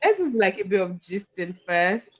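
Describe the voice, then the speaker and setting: A woman with a Nigerian accent hosts a relaxed group podcast. Her voice sits at a middling pitch, and she talks in an informal, conversational style. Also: normal speed, breathy edge. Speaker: podcast host. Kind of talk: casual group chat.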